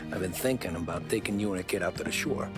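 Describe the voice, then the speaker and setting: An actor playing a guarded, weary American gangster in a cinematic crime game, with an understated, terse, colloquial delivery. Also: male voice, deep voice, quick pace, close to an everyday voice. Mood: subdued, evasive. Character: guarded, weary male gangster, evasive with his wife. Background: music.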